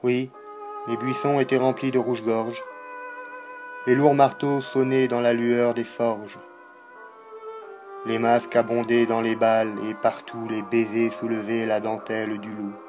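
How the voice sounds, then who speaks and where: A man recites verse in a grave, declamatory way over faint music. His delivery is slow and deliberate, broken by pauses, and his pitch stays within a fairly narrow range. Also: monotone. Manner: solemn, declamatory, measured phrasing with pauses. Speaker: poetry reciter. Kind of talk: dramatic poetry recitation.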